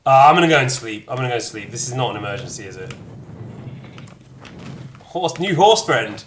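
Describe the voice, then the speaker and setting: A man's voice: medium pitch, expressive, fairly quick, slight background noise. A man giving casual, playful commentary while playing a video game, chatting and gushing in asides. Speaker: gamer commentating his play. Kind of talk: casual let's-play commentary.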